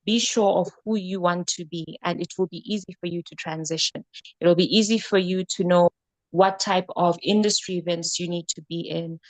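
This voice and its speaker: Low-voiced woman giving career advice. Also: fairly even intonation, moderate pace, no room sound, rough recording. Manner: earnest, advising, listing questions. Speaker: panelist answering a question.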